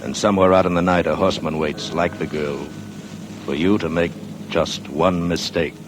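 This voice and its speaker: A male announcer delivering a slow, ominous dramatic teaser in 1940s radio style. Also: low voice, steady tone. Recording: noisy old radio broadcast, distant.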